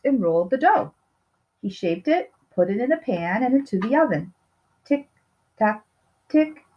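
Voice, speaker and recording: A woman reading a children's storybook aloud expressively, with little asides to the listeners. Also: a little high. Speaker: storybook reader. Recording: slightly dull, lo-fi sound.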